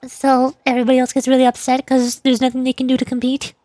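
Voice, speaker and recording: A woman's voice: sits high, monotone, quick pace, warm tone, dry recording, studio quality. A woman streamer giving casual, bemused game commentary, musing aloud and stretching words for emphasis. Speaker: VTuber streamer and amateur voice actor. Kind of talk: casual game commentary mixed with character voicing.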